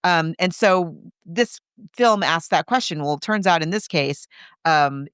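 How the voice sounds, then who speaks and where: A woman with a fairly low, clear voice chats as a podcast co-host. She retells a film casually, like someone telling a story to a friend. The recording is close and dry. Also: expressive, unhurried, a bit bright, dry recording. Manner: conversational storytelling, acting out characters' reactions. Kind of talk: casual film recap chat.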